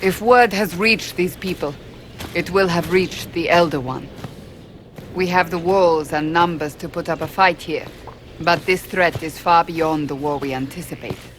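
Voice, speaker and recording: An actress playing an earnest, resolute warrior adviser in a fantasy video game, speaking in a solemn, measured way. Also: female voice, fairly low pitch, a little hiss or hum, close to an everyday voice. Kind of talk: dramatic video game acting. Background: game music.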